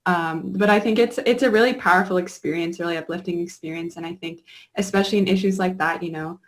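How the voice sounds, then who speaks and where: A young woman activist from Alaska speaking haltingly and earnestly, with many fillers. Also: lowish voice. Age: young adult. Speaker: youth activist guest. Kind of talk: casual panel conversation.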